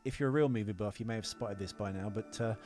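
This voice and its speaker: A man's voice: on the low side, fast, darkish tone, faint music behind. A man co-hosting a podcast in casual, opinionated film chat, ranting emphatically and swearing freely. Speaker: podcast co-host.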